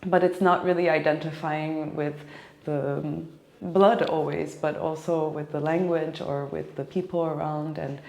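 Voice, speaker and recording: A young woman from Japan and Hawaii, talking casually and reflectively in an interview, pausing often as she thinks. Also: low-pitched, fairly even intonation, gravelly, a little mellow. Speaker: classical cellist being interviewed. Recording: echoey, reverberant room.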